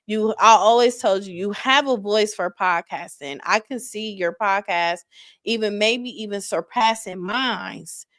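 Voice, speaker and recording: A woman in her forties hosts a casual podcast chat, encouraging and warm in her words, often restarting her sentences. Her voice sits at an ordinary middle pitch. The sound is close and dry, with no room around it. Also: no room sound. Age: 40s. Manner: encouraging, talks in quick bursts with repeated starts. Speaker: podcast host.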